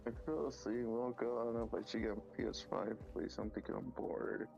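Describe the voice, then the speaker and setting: A man's voice: lowish voice, steady tone, a little fast, gravelly, music in the background. A young man chatting casually about games in a slangy, rambling way, on a dull recording. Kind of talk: casual gaming chat.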